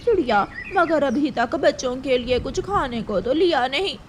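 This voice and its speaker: A woman's voice: sits high, expressive, normal speed. A woman voicing a helpful, caring young mother crow in a cartoon, with an earnest, simple delivery. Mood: friendly. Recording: clearly noisy background.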